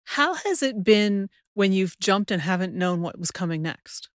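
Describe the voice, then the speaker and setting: Female podcast host, casual interviewing style, smooth tone, very dry close recording. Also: mid-range voice, animated, unhurried, dry recording.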